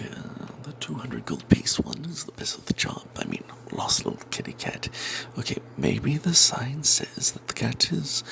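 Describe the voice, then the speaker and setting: A sly, money-minded cleric adventurer, acted by a man, whispers his fantasy tale in a slow, breathy voice. The tone is confiding and sardonic, with a crackly fry at the edges and hesitant asides. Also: medium pitch, a bit bright, full character voice. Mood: dismissive, scheming. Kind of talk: first-person fantasy tale told in whispers, ASMR.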